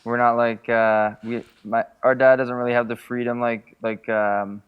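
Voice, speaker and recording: A young man talks as a podcast guest in a loose, rambling way, with fillers all through his talk. His voice is smooth and clear. He has a middle pitch. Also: flat delivery, normal speed, phone-quality sound. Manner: rambling, full of "like", chuckling. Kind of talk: casual podcast interview.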